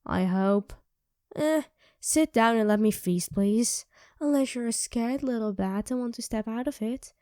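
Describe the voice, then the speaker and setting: A woman voicing a sardonic, teasing female vampire who mocks and coaxes in an ASMR roleplay, dropping into soft whispers, close to the mic. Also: on the high side, a little slow, no room sound, lightly acted. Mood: coaxing.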